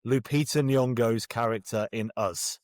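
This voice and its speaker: A British man chats casually as an interview guest. He is jokey and self-deprecating, often circling before he lands on an answer, in a medium-pitched voice with a dry sound. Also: unhurried, darkish tone, no room sound. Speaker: guest author.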